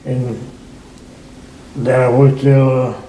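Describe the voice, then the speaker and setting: An older man from Hungary, a winery owner, telling his story in an oral history interview, halting and repeating words often. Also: medium pitch, level tone, warm tone, reverberant, low-quality recording.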